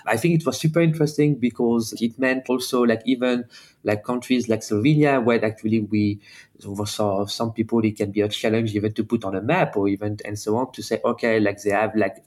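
A man's voice: lowish voice, darkish tone. A man speaking as an interview guest, explaining things conversationally and informally, peppering his sentences with "like". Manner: informal, frequent "like" as filler.

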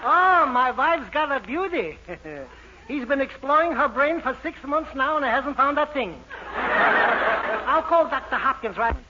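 A man's voice: very high-pitched, expressive, a little slow. A man acting a jovial, dim-witted, wisecracking husband in colloquial 1940s radio comedy style. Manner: jokey, colloquial, chuckling. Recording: noisy, muffled 1940s radio recording.

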